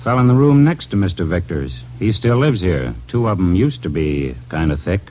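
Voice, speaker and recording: A penny-minded, unhelpful hotel manager in vintage radio drama. His voice is very low and his answers are curt and grudging. The old recording is dull, with faint noise. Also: big pitch swings, moderate pace. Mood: guarded, curt. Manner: curt, clipped fragments, grudging. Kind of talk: 1940s radio character acting.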